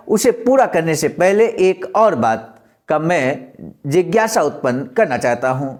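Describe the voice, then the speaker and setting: A man's voice: on the high side, darkish tone. A man presenting a historical political speech, reading it aloud in a formal, explanatory and oratorical manner.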